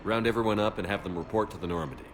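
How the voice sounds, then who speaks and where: An actor playing a resolute military commander in a video game, terse and wry. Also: male voice, on the low side, racing, noisy background, lightly acted.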